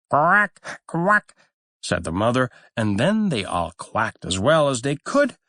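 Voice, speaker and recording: A man narrating a fairy tale in a measured, expressive storytelling manner, as an audiobook reader. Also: fairly low pitch, no room sound, studio quality.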